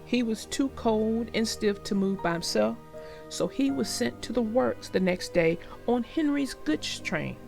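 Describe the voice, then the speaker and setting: A woman reading a children's story aloud and then talking gently about its lesson. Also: fairly high pitch, animated, a little slow, gravelly, soft background music. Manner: gentle, reflective, explains morals.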